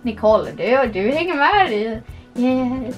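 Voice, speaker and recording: High-voiced playful young woman gamer. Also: animated, faint music behind. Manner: playful, giggly, chatty. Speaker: vlogger. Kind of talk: casual gameplay commentary.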